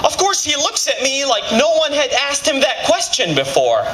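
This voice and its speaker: A man in his twenties from Kuwait giving an impassioned patriotic speech at a political rally, with emphatic phrasing and deliberate pauses. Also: very high-pitched, huge pitch swings, pushed and bright. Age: 20s. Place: fairgrounds rally, speaking to a crowd. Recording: echoing, noisy outdoor PA recording.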